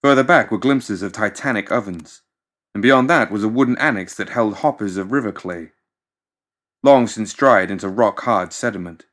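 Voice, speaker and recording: A man reading scripted fiction as an audiobook narrator, in a measured, dramatic storytelling manner. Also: lowish voice, a bit bright.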